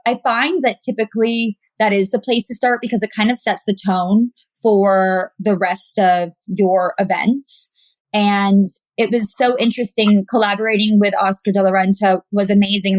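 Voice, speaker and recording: A woman's voice: mid-range voice, dry recording, poor audio. A woman stylist talking enthusiastically as a guest in a conversational interview.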